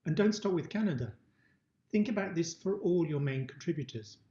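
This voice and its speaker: A middle-aged man presents a webinar lesson in a mellow, slightly high voice. He speaks in a prepared, explanatory style, and the sound is roomy. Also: expressive, a little fast. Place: indoor room. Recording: dull, roomy webcam-style recording.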